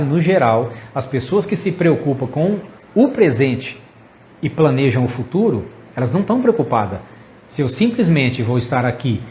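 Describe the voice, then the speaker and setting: A man's voice: medium pitch, darkish tone, slight background noise. A man in his fifties, a psychologist, giving an earnest, encouraging talk to camera, full of lists and rhetorical questions. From Brazil. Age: 50s. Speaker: psychologist host.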